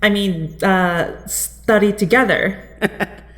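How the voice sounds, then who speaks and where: A woman playing a sweet, easily flustered young college student in an audio drama, gently playful and hesitant. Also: mid-range voice, drawn-out words. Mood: fond, flustered.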